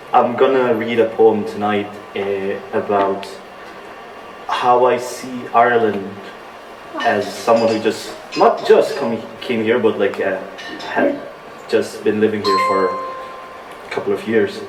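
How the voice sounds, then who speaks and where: A man from Indonesia, reading poetry at an open mic in an earnest, deliberate way. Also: on the low side. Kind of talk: live poetry reading with halting spoken introduction. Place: indoor bar venue at a live open-mic night. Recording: roomy, distant mic, faint background noise.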